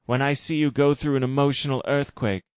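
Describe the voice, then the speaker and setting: A man's voice: mid-range voice, steady tone, fairly quick, clear, resonant tone, no echo, pitched above the performer's own voice, lightly acted. A man voicing a devoted, lovesick man who speaks earnestly. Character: lovesick devoted man, later beaten and weak.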